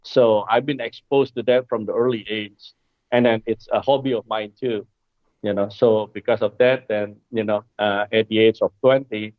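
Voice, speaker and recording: A man, answering interview questions in a hesitant way with many filler pauses, heard over a remote call. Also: lowish voice, dry recording. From Indonesia. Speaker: guest, coral wholesaler. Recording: dry, tinny phone-like line.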